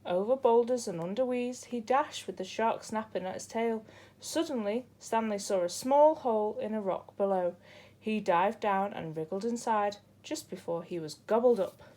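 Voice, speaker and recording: A woman's voice: medium pitch, normal speed, dark tone. A woman narrating a children's picture book as a storybook reader.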